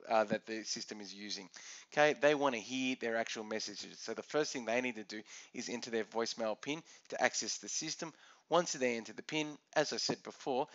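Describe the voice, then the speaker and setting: A man's voice: mid-range voice, fairly bright tone, dull audio. A man teaching in an explanatory screencast, going step by step and often punctuating with "okay". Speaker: teacher presenting a tutorial. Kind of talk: explanatory screencast lesson, semi-scripted.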